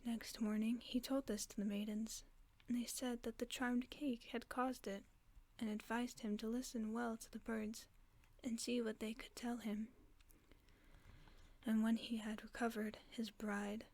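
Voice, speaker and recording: A woman whispers a bedtime fairy tale slowly and softly, keeping her voice hushed and level throughout. Her tone sits a little high but barely rises or falls, steady and soothing in its sameness. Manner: slow, hushed, even whisper. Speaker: narrator of a sleep-aid story. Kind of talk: soft whispered bedtime story reading.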